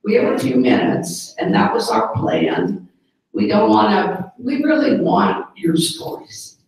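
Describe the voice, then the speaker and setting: A religious sister hosting a gathering, inviting guests to share stories in a chatty way with filler words. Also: female voice, lowish voice, huge pitch swings, unhurried, darkish tone. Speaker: host, sister of the religious community. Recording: echoey room, mic far off.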